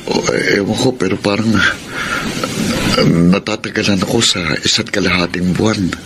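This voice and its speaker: Man acting a low-voiced lovesick young Filipino man. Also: steady tone, moderate pace, noisy background, close to an everyday voice. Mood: longing. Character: lovesick young Filipino man telling his love story. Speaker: narrator and lead character. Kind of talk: sentimental Filipino radio drama acting. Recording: radio drama over loud music.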